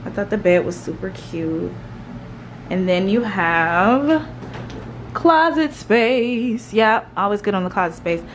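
A young woman giving a casual house tour vlog, chatting in an animated, slangy, gushing way. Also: mid-range voice, slow pace. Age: young adult. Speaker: vlogger. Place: indoors, rooms of a house. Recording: echoey rooms with clear background noise.

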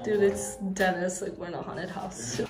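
A woman's voice: fairly low pitch, moderate pace. A young American woman vlogging casually and expressively on a handheld camera, swearing freely. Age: young adult. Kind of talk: casual vlog chatter while exploring. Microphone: handheld camera at arm's length. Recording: loud music over handheld camera audio.

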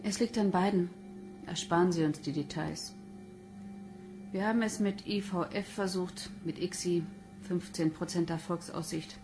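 An actress playing a bitter, defensive wife under questioning in a crime radio play, speaking curtly. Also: female voice, fairly low pitch, steady tone, measured pace, over music. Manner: curt, defensive. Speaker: actor in a crime radio drama. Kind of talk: German radio play acting.

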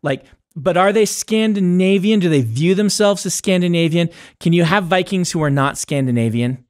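A man's voice: sits high, moderate pace. A man in his forties co-hosting a podcast in casual, rambling chat, correcting himself as he goes, on a studio mic. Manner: rambling, self-correcting, playful. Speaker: podcast co-host. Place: small studio set indoors. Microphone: boom-mounted studio mic.

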